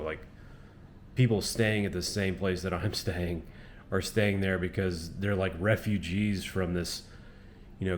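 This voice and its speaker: A man's voice: low-pitched, a little hiss or hum. A man from Florida talking casually to his camera outdoors at dusk, rambling and leaning on "you know" and "um". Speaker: travel vlogger. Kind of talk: casual reflective monologue to camera.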